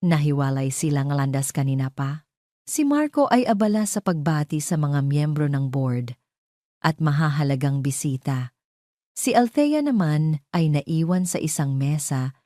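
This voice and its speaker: A woman's voice: low-pitched, expressive, normal speed, warm tone, no echo, clean studio sound. A woman with a Filipino accent narrating a scripted dramatic story.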